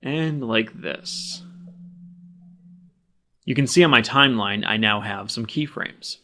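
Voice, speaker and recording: A man with a mid-pitched voice narrates a software tutorial as the instructor. He talks plainly and practically, explaining each action step by step as he performs it. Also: slow pace, a little hiss or hum. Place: voice-over of a screen recording.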